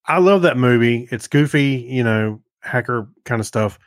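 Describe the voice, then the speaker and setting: A man's voice: mid-range voice, expressive, normal speed, dry recording. Man chatting casually, dry close sound. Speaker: podcast co-host. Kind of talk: casual chat.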